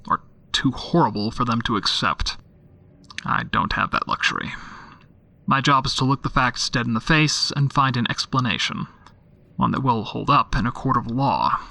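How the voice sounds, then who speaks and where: An actor playing a jaded, sceptical male homicide detective in an audio drama, dictating case notes in a dry, deadpan, irritable manner. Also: mid-range voice, normal speed, vocal fry. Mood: stern, impatient.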